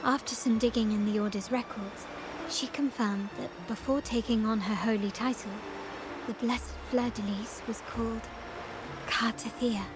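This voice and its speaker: An actress playing a poised, hospitable yet menacing woman of a powerful family in a video game, speaking formally and deliberately. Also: a little high, steady tone, close to an everyday voice. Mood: serious, explaining. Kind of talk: video game story voice acting. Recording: music playing behind the voice. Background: game music.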